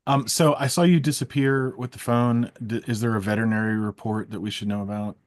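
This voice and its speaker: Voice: mid-range voice, fairly even intonation, fairly quick. A co-host with a wry, teasing way of talking, chatting casually and presenting quizzes. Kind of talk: casual chat.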